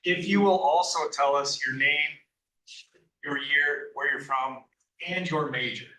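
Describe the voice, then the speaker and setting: A man's voice: fairly high pitch, a little slow, bright, forceful tone, slight background noise. A man making informal remarks at a public meeting. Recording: echoey room, distant mic.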